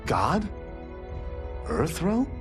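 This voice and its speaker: A man's voice: a little high, huge pitch swings, extremely slow, over music, full character voice. An actor playing a brash, eager young male martial artist in a video game. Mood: skeptical. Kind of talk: video game cutscene acting.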